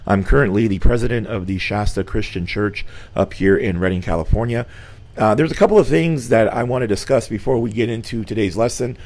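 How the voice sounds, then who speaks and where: A slightly hoarse middle-aged man hosting a weekly church show, giving an earnest, informal Bible lesson with many fillers and restarts. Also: lowish voice, fairly quick, darkish tone. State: slightly hoarse, losing his voice.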